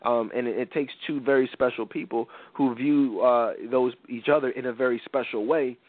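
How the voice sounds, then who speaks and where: A man's voice: mid-range voice, fairly even intonation, moderate pace, no room sound. A congested male host talks loosely, restarting his sentences and filling gaps with "you know." His voice is thin and phone-like. The sound is dry, with no room around it. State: congested from a cold. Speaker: podcast host. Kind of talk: casual talk show chat.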